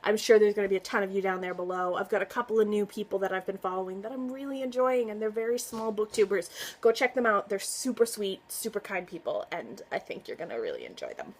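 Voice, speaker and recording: A woman's voice: medium pitch, lively intonation, fast. A woman talking casually to camera as a book vlogger, chatty and earnest, often trailing off and restarting. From United States. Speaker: booktuber. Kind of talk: casual book tag vlog.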